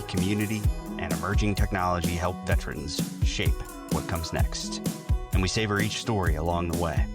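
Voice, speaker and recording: A man hosting a veteran podcast, reading a scripted intro and then asking interview questions in a steady, measured way. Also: low voice. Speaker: podcast host.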